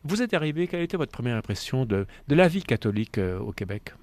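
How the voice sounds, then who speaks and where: A man hosting a conversational radio interview. He asks long, chatty questions, often restarts them, and adds side remarks along the way. His voice sits at a middle pitch. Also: sing-song, fast, a little mellow, no echo. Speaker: radio host.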